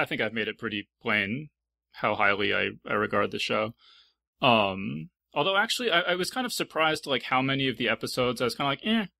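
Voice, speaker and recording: A man hosting a casual panel discussion, speaking thoughtfully and haltingly as he repeats words. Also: mid-range voice, gravelly, bright, forceful tone, no room sound. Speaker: podcast host.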